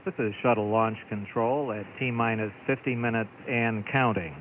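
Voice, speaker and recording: Brief: American male launch commentator, low pitch, measured and formal, narrow tinny radio sound. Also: fairly even intonation. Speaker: launch control public affairs commentator. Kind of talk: official live launch commentary. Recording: noisy, phone-like narrowband radio audio.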